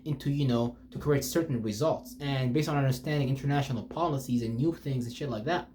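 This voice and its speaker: A man's voice: mid-range voice, a little fast, mellow, slight background noise. A man giving an off-the-cuff, rambling motivational talk as a spiritual speaker, informal, slangy and profane, repeating himself for emphasis and putting rhetorical questions.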